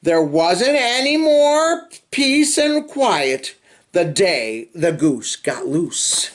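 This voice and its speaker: A man reading a children's picture book aloud in an expressive, sing-song way, with drawn-out phrasing and chatty asides. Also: high-pitched. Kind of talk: animated storybook read-aloud with chatty asides.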